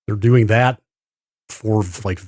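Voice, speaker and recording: A man's voice: on the low side, steady tone, takes it slowly, a little mellow, dry recording, clean studio sound. A man co-hosting a podcast, explaining things casually and in detail with careful qualifications. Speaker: podcast host. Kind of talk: casual tech news discussion.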